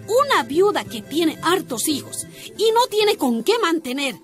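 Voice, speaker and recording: Outspoken, indignant heroine, Bolivian Spanish, high animated voice, radio play with music. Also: female voice, measured pace, exaggerated voice. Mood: defiant. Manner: passionate, argumentative, indignant. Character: defiant, outspoken working-class woman. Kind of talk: radio drama acting.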